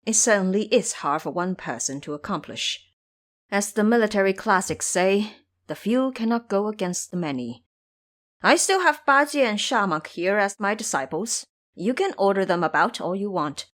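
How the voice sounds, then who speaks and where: A woman playing an earnest, fretful male monk master in an audio drama, speaking in a measured, admonishing way. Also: mid-range voice, animated, a bit bright, natural voice. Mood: earnest, coaxing.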